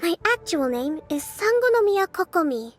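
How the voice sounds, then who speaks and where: A woman playing a gentle young priestess and strategist in a game, soft-spoken, gracious and modest. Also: very high voice, big pitch swings, moderate pace, faint music behind. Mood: polite, modest.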